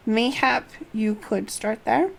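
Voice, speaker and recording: A woman's voice: a little high, steady tone, slow, creaky, full character voice. A woman voicing a grave, learned advisor from a fantasy game, solemn and archaic in wording.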